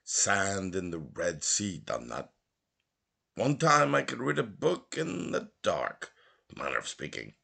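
A man plays a rough old seafarer from a literary audiobook, spinning yarns in colloquial talk. The voice is low and husky, boastful and impatient by temper. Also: huge pitch swings, takes it slowly, pushed and bright, exaggerated voice. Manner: colloquial, ungrammatical seaman's talk.

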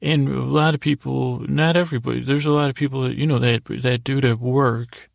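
A man with a heavily creaky, gravelly voice delivers a meandering bedtime monologue. His pitch moves very little, and he keeps halting and interrupting himself with droll, silly tangents. The sound is close and dry, with faint music behind him. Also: fairly even intonation, no room sound. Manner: halting, meandering, self-interrupting, droll mock-serious silliness. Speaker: podcast host.

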